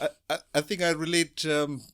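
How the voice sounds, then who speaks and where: A man's voice: fairly high pitch, level tone, brisk, fairly bright tone. A man from Fiji, a guest on his first podcast, giving short, nervous, giggly answers. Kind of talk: casual interview answers.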